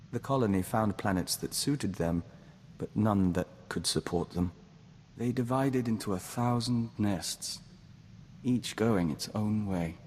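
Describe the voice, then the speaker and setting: An actor voicing a calm, formal young man joined to an insect hive mind in a video game, speaking in a measured way as "we". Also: fairly low pitch, big-room sound, slight background noise, close to an everyday voice. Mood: solemn. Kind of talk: scripted video game voice acting.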